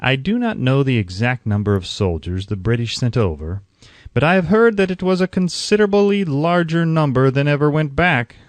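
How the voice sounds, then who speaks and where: A man's voice: medium pitch, sing-song, normal speed, no room sound, voice pushed up, natural voice. A man voicing a self-assured, exasperated industrialist in an audiobook, speaking pointedly and emphatically. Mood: wry. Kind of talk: acted audiobook quotation.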